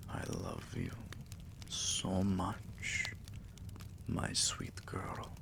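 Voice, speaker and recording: A man playing a tender, possessive vampire king in an intimate ASMR roleplay, murmuring soothingly and often whispering, with a deep, gravelly voice. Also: very animated, very slow, heavily acted. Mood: soothing, loving.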